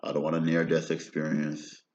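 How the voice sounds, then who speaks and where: Man in his thirties, American accent, extremely deep voice, meandering monologue, echoey lo-fi sound. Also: monotone, normal speed, darkish tone. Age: 30s. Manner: meandering, often ends phrases with "right?". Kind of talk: rambling personal monologue. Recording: roomy, dull lo-fi recording.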